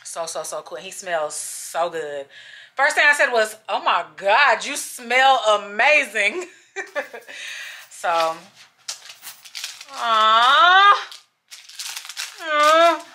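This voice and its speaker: Bright-voiced, gushing woman vlogger. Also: fairly high pitch, very animated, takes it slowly, pauses often, a little hiss or hum. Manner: gushing, chatty, addresses viewers as y'all. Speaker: travel vlogger. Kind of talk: casual vlog chat to camera.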